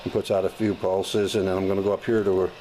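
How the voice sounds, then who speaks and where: An American man with a fairly low voice shows off a gadget in a casual, unscripted way. He is folksy and plain-spoken, often halting as he finds his words. Clear noise runs through the amateur recording. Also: flat delivery. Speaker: hobbyist demonstrating a vintage gadget.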